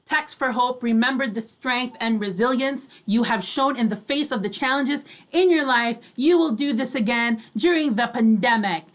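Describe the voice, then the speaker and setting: A woman with a Filipino accent and a high voice co-hosts an online radio show. She chats informally and often chips in with short, encouraging responses. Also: normal speed, few pauses. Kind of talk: casual Taglish chat.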